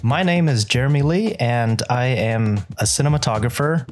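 A young man from Southern California talks through his story in a loose, thoughtful way, full of "you know" and "like". His voice sits at an ordinary middle pitch. A soft music bed hums quietly under him. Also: moderate pace. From Southern California, USA. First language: Vietnamese. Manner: relaxed, reflective, uses many fillers. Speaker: cinematographer, guest. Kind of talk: casual interview conversation.

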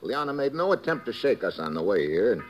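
A man's voice: fairly low pitch, sing-song, quick pace. An actor playing a tough, wisecracking special agent who narrates his own case in laconic hard-boiled style, in a 1950s radio drama. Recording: old radio recording with music.

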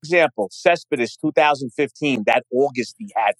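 A man's voice: mid-range voice, normal speed, dry recording. A man calling into a sports show, making blunt points and repeating them. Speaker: caller. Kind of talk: call-in chat.

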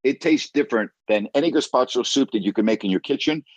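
A man's voice: medium pitch, fast, dry recording. A man chatting casually as a guest, emphatic and repeating himself for stress. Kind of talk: casual chat.

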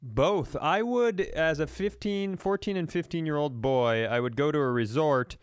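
Smooth-voiced man in wry casual chat. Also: on the high side, measured pace, no echo, clean studio sound. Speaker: podcast co-host.

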